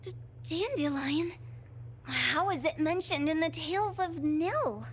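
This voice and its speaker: A woman's voice: extremely high pitch, takes it slowly, a little hiss or hum. A woman voicing a small, inquisitive and blunt companion character in a video game, exclaiming often. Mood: suspicious, incredulous.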